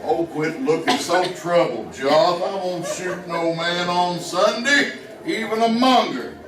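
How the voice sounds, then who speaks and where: A man's voice: sits high, slow pace, a crowd in the background. An actor playing a stubborn, blunt Ozark hillbilly gunman with a folksy drawl in an old-time radio western. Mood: grudging, folksy. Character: lanky hillbilly feudist, stubborn, matter-of-fact, with a rifle. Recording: roomy, distant vintage radio recording with voices.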